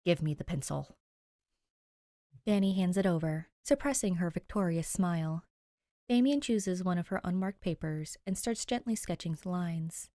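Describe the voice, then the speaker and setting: A woman reading fiction aloud as an expressive podfic narrator. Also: on the low side, normal speed, no room sound, studio quality. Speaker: podfic reader. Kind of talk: expressive scripted story narration.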